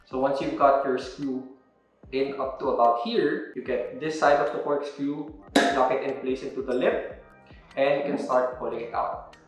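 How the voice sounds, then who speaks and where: A man's voice: medium pitch, steady tone, normal speed, soft background music. A young man explaining step by step how to open a wine bottle, in an instructional demo recorded indoors. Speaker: wine presenter. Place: indoor room with a table. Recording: very echoey room.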